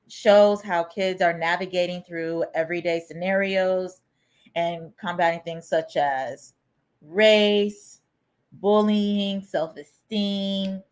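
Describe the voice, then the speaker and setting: Lower-voiced woman pitching her book. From United States. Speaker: guest author. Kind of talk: self-introduction and book pitch.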